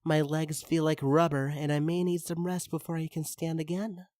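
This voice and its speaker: A man's voice: on the high side, level tone, moderate pace, a little mellow, dry recording, clean studio sound, natural voice. A man voicing a weary, courteous young video game princess in a story reading. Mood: weary, polite.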